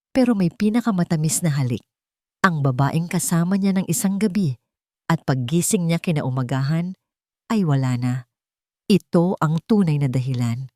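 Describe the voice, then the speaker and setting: Female narrator for romance fiction, lower register, dramatic scripted storytelling, dry sound. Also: dark tone, no room sound. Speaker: storyteller of Tagalog romance audio stories.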